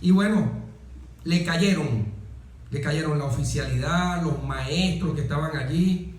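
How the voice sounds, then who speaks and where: A man's voice: a little high, measured pace. A middle-aged Venezuelan man telling stories conversationally as an interview guest, repeating words for emphasis and checking in with short tag questions. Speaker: interview guest, former military aide. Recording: echoey room, distant from the mic.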